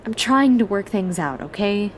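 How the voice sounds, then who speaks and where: A woman's voice: mid-range voice, lively intonation, moderate pace, slight background noise, close to an everyday voice. An actress in her twenties playing a guarded, defensive young woman in a film drama, talking in a conversational, evasive way. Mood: guarded, frustrated. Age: 20s. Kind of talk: naturalistic film drama dialogue.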